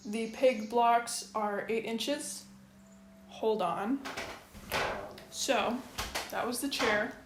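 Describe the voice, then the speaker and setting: A woman's voice: a little high, slight background noise. A woman making a casual quilting video at home, talking in a chatty, wry, matter-of-fact way. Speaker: quilting vlogger. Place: home kitchen. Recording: echoey room with faint ambience.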